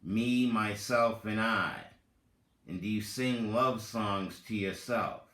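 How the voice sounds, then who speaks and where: A man giving a dramatic reading with spoken commentary, deliberate and halting, in short phrases. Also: on the low side, a bit bright. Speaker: reader, content creator. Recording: roomy, echoing voice recording.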